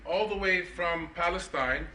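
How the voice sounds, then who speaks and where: A man's voice: high voice, unhurried, a little hiss or hum. Sarcastic man giving a conference keynote. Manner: sarcastic, ironic delivery; animated, mock-indignant questions. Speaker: keynote speaker. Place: conference hall. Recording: echoey hall, mic from far off.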